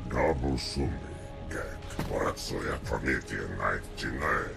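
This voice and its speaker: Guttural, cavern-deep alien warlord. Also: male voice, lively intonation, vocal fry, exaggerated voice. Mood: cold, commanding. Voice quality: guttural, growling. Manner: slow, weighty delivery. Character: menacing alien warrior commander, huge and brutal. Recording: loud music behind.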